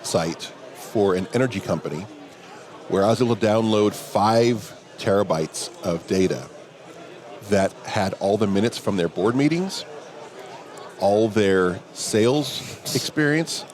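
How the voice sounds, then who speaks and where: Man, big low voice, relaxed anecdotes, conference hallway. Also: unhurried. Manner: relaxed anecdotal telling, quoting others in dialogue. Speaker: interview guest, security professional. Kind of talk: casual storytelling in a conference hallway chat. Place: outdoors at a conference venue.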